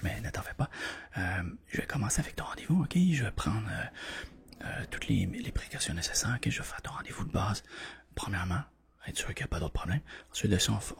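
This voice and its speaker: A man whispers gently and reassuringly, playing a doctor in an ASMR roleplay, with a breathy voice and softly repeated words. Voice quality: breathy whisper mixed with soft, creaky low voice.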